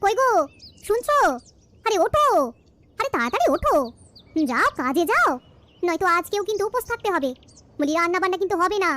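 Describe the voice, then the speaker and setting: A woman voicing a sharp-tongued, exasperated village housewife in a cartoon. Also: extremely high pitch, sing-song, a little fast, pauses often, pitched above the performer's own voice, exaggerated voice. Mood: scolding. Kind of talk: cartoon voice acting.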